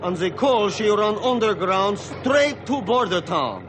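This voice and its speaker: High, swooping French-accented lumberjack. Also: male voice, lively intonation, unhurried, noisy background. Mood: easygoing. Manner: broken English grammar. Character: easygoing French-Canadian lumberjack boss, loyal helper. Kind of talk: radio adventure drama acting.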